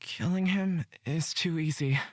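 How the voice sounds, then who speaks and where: A woman voices a solemn, weary man from a fantasy visual novel. He speaks slowly in a low, husky voice, measured and serious. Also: no echo, studio quality. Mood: grave. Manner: slow, grave. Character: solemn, weary, gentle-principled male fantasy companion. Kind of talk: visual novel character reading.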